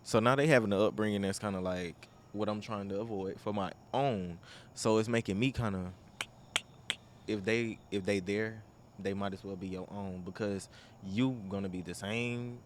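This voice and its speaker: Young man, low voice, earnest. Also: steady tone, moderate pace, a little hiss or hum. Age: young adult. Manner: earnest, emphatic, informal. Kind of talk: casual chat.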